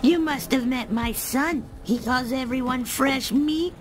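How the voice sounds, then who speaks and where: High-voiced, weary alien mom. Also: female voice, unhurried. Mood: weary resignation. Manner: deadpan, resigned, passive-aggressive. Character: weary, blunt alien mother, fed up. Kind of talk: comedic video game acting. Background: music.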